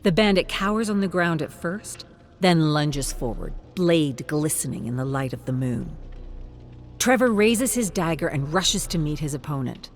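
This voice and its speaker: A mid-pitched narrator reads a gothic fantasy story with solemn drama. The delivery is scripted and suspenseful, over a bed of music. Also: expressive, normal speed.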